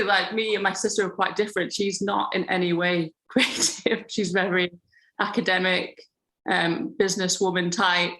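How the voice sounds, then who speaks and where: Relaxed woman guest. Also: fairly low pitch, low-quality recording. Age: 30s. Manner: relaxed, rambling, laughs between stories. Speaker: podcast guest, grower and florist. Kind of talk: casual interview chat.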